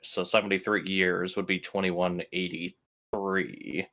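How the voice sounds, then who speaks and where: A man's voice: low-pitched, flat delivery, takes it slowly, sharp tone. A man playing a tabletop game, talking casually with the group.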